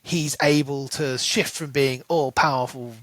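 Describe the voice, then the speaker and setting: A man's voice: mid-range voice, steady tone, a little slow, a bit bright, no room sound. A man co-hosting a podcast in a casual book discussion, speculating aloud and posing questions as he goes. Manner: speculative, rhetorical questions, acts out quotes. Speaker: podcast co-host.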